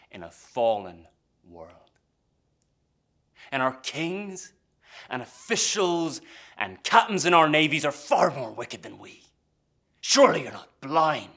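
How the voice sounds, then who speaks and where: A man playing an embittered, defiant pirate mutineer in a theatrical monologue, brooding and swinging between hushed menace and impassioned outbursts. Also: on the low side, slow, long pauses. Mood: controlled menace. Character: embittered, defiant English pirate mutineer, a former pressed sailor.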